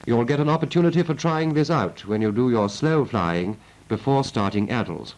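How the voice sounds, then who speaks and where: A man's voice: medium pitch, lively intonation, moderate pace, darkish tone, poor audio. A British man narrating a 1940s military training film as an instructor, in a clipped, methodical and instructive manner.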